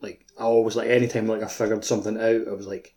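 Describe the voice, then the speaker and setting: A man co-hosting a podcast, chatting casually about a video game in a halting way, peppered with "like". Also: lowish voice, little pitch movement, warm tone. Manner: halting, says "like" constantly. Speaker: podcast co-host.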